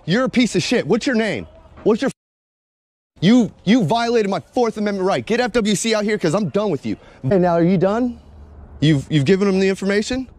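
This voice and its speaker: A man's voice: high-pitched, animated, fairly quick, a little mellow. An American man in his forties narrating footage casually, in a confrontational, sarcastic manner with plenty of swearing. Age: 40s. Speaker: video blogger, police auditor.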